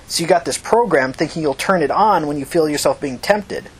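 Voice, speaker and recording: A man's voice: fairly high pitch, brisk, slightly rough. A man reading the part of a tough, angry, foul-mouthed woman who questions people bluntly like an amateur detective in an audiobook. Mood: angry, accusing. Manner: blunt, pressing, confrontational.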